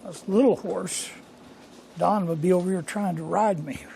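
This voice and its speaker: Hoarse older male shop host, higher-pitched and gravelly, folksy and rambling. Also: moderate pace, dark tone, slight background noise. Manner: rambling, folksy and self-deprecating. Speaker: workshop channel host. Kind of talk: casual workshop vlog. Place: home machine shop.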